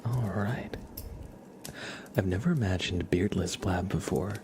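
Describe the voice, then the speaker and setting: Deep voiced guy doing live asmr, soft and playful, half whispered, noisy background w scissor sounds. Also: measured pace. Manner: soft-spoken, playful, slips between murmur and whisper. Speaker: ASMR livestreamer. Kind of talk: ASMR live chat with roleplay, partly whispered.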